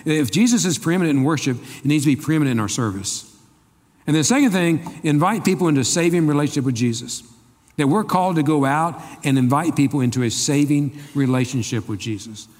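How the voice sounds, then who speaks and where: A man's voice: on the high side, animated, fairly quick, a little mellow. Guy pastor preaching in a church, jokey and folksy, big echoey hall. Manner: folksy and joking, with playful asides to the congregation. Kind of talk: sermon. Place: church sanctuary. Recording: long reverb of a large hall.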